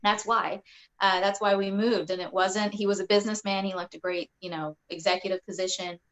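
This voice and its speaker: A woman's voice: medium pitch, flat delivery, a little fast. A woman in her forties from Kentucky, a state representative, speaking earnestly on a video-call panel with frequent restarts. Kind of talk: panel discussion.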